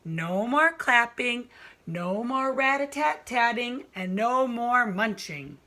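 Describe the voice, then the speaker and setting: Woman, children's story narration, slightly high pitch, slow sing-song delivery, teacher role. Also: animated, bright, forceful tone. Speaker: kindergarten teacher reading aloud.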